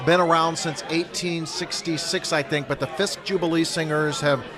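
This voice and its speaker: Middle-aged radio guy, a bit high voice, calm informative answers, crowd noise in back. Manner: measured, informative. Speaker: radio broadcaster guest. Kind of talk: interview answers.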